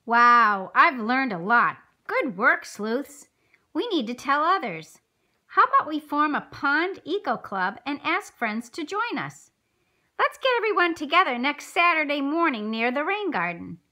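Puppet show role: keen young schoolgirl played by a woman, high-pitched, very lively intonation, encouraging. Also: unhurried, full character voice. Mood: eager. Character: eager, friendly fifth-grade girl in a puppet show. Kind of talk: scripted puppet show dialogue.

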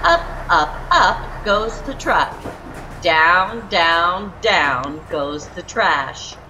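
Slow, very lively woman reading a storybook. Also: fairly low pitch, a little hiss or hum, rough recording. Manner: expressive, exaggerated emphasis with drawn-out refrains. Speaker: children's read-aloud storyteller. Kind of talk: picture-book read-aloud for young children. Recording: music behind the voice. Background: background music.

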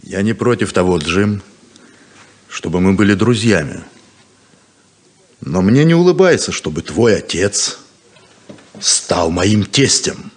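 An actor playing a proud, lonely, guarded deputy sheriff in a 1970s radio play, terse and reserved. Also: male voice, low voice, lively intonation, slow, long pauses, slight background noise. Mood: guarded, stung.

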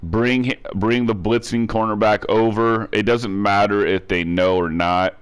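A man with a lowish, smooth voice, congested from a cold, narrates a video game tutorial. He repeats each step and restarts his words often, in a casual, instructive way. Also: fairly even intonation, measured pace. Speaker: gaming tutorial creator.

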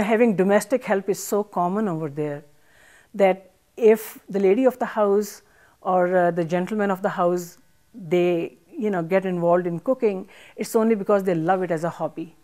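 A woman's voice: lowish voice, mellow. An older woman from Pakistan, a chef and author, answering interview questions thoughtfully with frequent fillers, on a studio set with a lapel mic. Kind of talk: interview conversation. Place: studio set with armchairs and plants.

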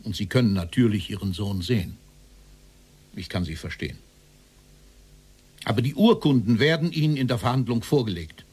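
An actor playing a stern prosecutor in a German documentary radio play, questioning in an insistent, accusing, formal manner. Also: male voice, lowish voice, lively intonation, normal speed, long pauses, a little mellow, breathy edge. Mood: firm. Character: stern, relentless male prosecutor interrogating a Nazi official.

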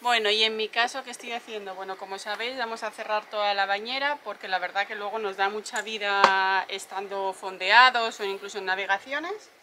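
A woman's voice: medium pitch, steady tone, a little fast, few pauses, background noise. A woman from Spain chatting casually on a vlog as she works on her sailboat, rambling and talking to her dog. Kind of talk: casual vlog chat to camera. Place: aboard a moored sailboat.

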